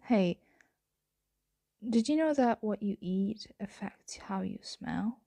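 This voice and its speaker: Slow, breathy, flirty roommate woman acting it. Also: mid-range voice, animated, heavily acted. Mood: flirtatious, hungry. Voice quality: soft, breathy, frequently whispering. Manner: slow, hesitant, teasing murmur. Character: flirtatious, teasing female roommate playing vampire. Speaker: ASMR roleplay voice actor. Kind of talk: ASMR roleplay, intimate and close, often whispered.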